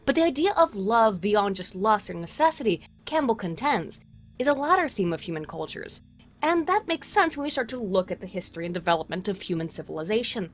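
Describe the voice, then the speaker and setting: A woman's voice: mid-range voice, sing-song, a little fast, slight background noise. A woman narrating a scripted video essay in formal, wordy academic phrasing with wry asides. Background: music.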